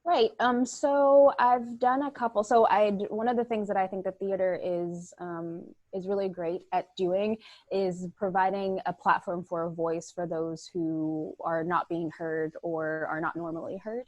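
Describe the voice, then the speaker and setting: Lady actor on a video call, lowish voice, laughs a lot, lots of ums. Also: level tone, a little slow, darkish tone, no echo, low-quality recording. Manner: chatty, laughs often, many ums. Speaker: actor and playwright guest. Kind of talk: casual video-call conversation.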